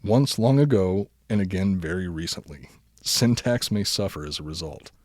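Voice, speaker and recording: A man with a deep voice reads a silly bedtime story for a podcast. His delivery is deadpan, though he is prone to breaking into giggles. The sound is very dry, with hardly any room to it. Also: moderate pace, no echo. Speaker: podcast host reading a story. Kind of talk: comic bedtime story reading.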